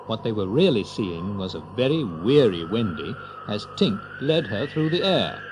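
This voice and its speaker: A man narrating a children's story with an expressive, theatrical storyteller's delivery. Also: lowish voice, moderate pace, background noise.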